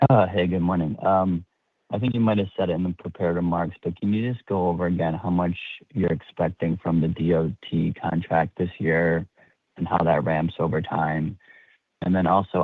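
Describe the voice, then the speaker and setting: A man's voice: deep voice, husky, no room sound. A male financial analyst asking an informal question on an earnings call. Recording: narrow tinny phone line.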